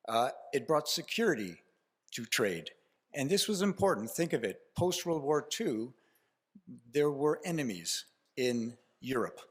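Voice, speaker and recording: A man from Canada with a Canadian accent, talking to a conference audience from the stage. His voice sits at an ordinary middle pitch. He talks in a loose storytelling way, full of "uh"s and restarted phrases. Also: big pitch swings, a little slow, husky. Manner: informal and storytelling, with frequent restarts and fillers. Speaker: keynote speaker at a conference. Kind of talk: conference speech.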